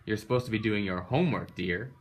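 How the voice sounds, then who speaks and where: A man's voice: fairly low pitch, fast, natural voice. A man voicing a fussing, teasing mother frog in a picture book, with a mock-stern way of talking. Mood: scolding.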